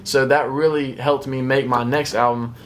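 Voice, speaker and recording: A man's voice: mid-range voice, steady tone, normal speed. A young man from the Carolinas, a rapper guest talking candidly and with self-mockery on a radio show. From South Carolina, raised in North Carolina. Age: young adult. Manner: candid, self-deprecating, laughs easily. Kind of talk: casual radio interview. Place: radio studio.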